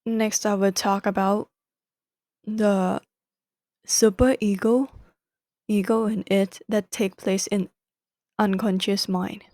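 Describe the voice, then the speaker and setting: A young woman with a Thai accent hosts a podcast, her voice warm and mellow. She talks slowly and deliberately, fixing her own words as she goes. The recording is close and dry. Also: medium pitch, flat delivery, dry recording. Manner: careful, deliberate non-native English with self-corrections. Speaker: podcast host. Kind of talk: solo book-summary podcast.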